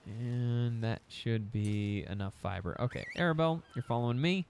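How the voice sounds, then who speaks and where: A man's voice: medium pitch, moderate pace, vocal fry, darkish tone, slight background noise. A young man casually commentating a survival game, rambling to his in-game pets and his audience. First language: English. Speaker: gaming YouTuber. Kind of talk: casual let's-play commentary.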